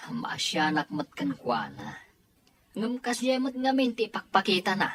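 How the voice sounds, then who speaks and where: A woman's voice: on the high side, very animated, measured pace, slightly rough, exaggerated voice. A woman acting a concerned younger sibling confiding in a mother in an audio drama, with a Filipino accent.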